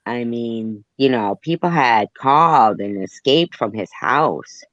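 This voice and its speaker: Slow, low-voiced man chatting over a muffled line. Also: lively intonation, a bit bright, no echo. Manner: rambling, trailing off, muttering asides. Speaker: host. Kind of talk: casual chat, reading news aloud. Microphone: phone.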